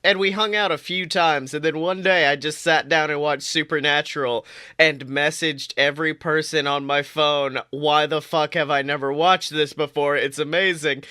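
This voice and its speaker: A young man co-hosting a podcast, chatting casually and playfully with lively, mock-outraged reactions. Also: on the high side, moderate pace, clean tone, no room sound, studio quality. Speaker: podcast co-host. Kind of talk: casual chat.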